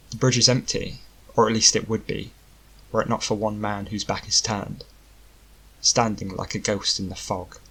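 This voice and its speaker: A man narrating a scripted fantasy story as an audiobook, in a deliberate, dramatic storytelling manner. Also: fairly low pitch, bright, forceful tone, breathy edge, low-quality recording.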